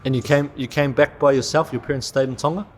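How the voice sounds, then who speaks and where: A man's voice: medium pitch, steady tone, fast. A man hosting a casual podcast interview, asking questions in an upbeat, encouraging way. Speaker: podcast host.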